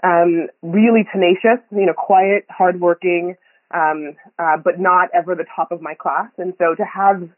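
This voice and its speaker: A woman's voice: fairly low pitch, fairly even intonation, unhurried, dry recording. A woman from Kenya, a podcast guest, talking reflectively with many ums and frequent laughs. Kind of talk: conversational interview. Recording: tinny phone line, dry.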